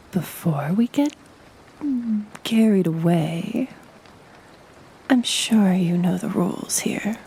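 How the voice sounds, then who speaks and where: A woman voicing a playful, sultry succubus in a flirty roleplay audio, teasing in a breathy half-whisper with a businesslike streak. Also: medium pitch, warm tone, a little hiss or hum. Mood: businesslike, coy.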